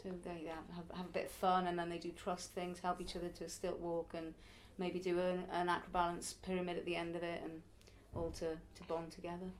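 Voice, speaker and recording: A woman's voice: fairly low pitch, completely flat delivery, brisk, few pauses, a little hiss or hum. Chatty woman in her early forties. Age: early 40s. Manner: chatty, reflective, laughs now and then. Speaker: circus performer and youth circus teacher. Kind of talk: casual interview answers.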